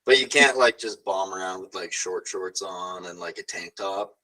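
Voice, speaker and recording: A man co-hosting a podcast, joking and throwing in quick reactions and short questions in casual chat. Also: low-pitched, pushed and bright. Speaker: podcast co-host.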